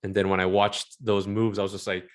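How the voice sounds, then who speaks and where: Low-voiced animated man in his forties. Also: a little fast. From Southern California. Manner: animated, rambling, laughs often. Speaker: interview guest, film concept artist. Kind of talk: casual interview chat.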